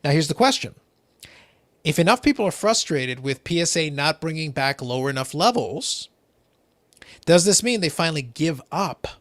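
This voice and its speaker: A man who speaks a little higher than most, hosting a casual hobby chat. He explains with animation and often repeats a phrase as he gets going. The sound is dry and close. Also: big pitch swings, moderate pace, fairly bright tone, no room sound. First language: not English. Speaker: podcast host.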